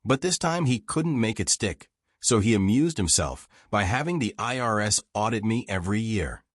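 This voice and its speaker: A man's voice: on the low side, lively intonation, moderate pace, no echo, clean studio sound. A man voicing a frank, wry old American war reporter reminiscing in folksy, self-deprecating audiobook dialogue.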